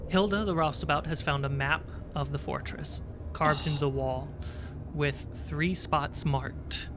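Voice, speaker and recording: Game master for a tabletop session, unhurried and halting, mid-pitched voice, noisy recording. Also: steady tone. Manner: deliberate, halting scene-setting with pauses. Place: online livestream video call. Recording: clearly noisy background.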